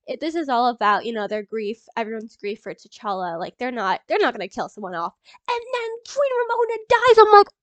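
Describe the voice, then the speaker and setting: A young woman with a stuffy nose from a cold, rambling excitedly in a casual movie reaction vlog, full of ums and little laughs. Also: a little high, a little fast, no echo. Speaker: YouTuber.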